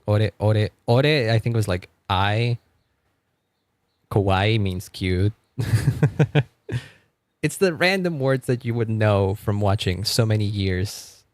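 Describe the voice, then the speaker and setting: Low-voiced man rambling on stream. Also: a little mellow, no echo. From Argentina. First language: Spanish. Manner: rambling, stammers on repeated words, laughs often. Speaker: music streamer. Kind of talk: casual live-stream chat.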